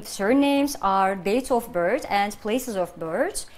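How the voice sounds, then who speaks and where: A woman English teacher, a native Turkish speaker, answering in a measured classroom role-play. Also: medium pitch, expressive. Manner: measured, answering with example questions.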